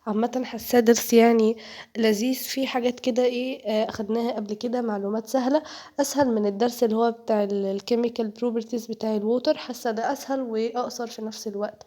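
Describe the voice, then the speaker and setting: A woman's voice: on the high side, fairly even intonation, rapid-fire, mellow. A teenage girl with an Egyptian Arabic accent, narrating her day in a chatty vlog voice-over while getting over a cold, talking straight to her viewers. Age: teens. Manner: chatty, jokey, addresses viewers directly. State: has a cold, voice sounds off. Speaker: vlogger, high school student.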